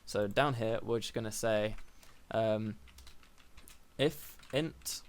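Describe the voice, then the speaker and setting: A young man with a slightly croaky voice, hosting a casual coding tutorial and thinking aloud with fillers and self-corrections. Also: lowish voice, takes it slowly. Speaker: programming tutorial host.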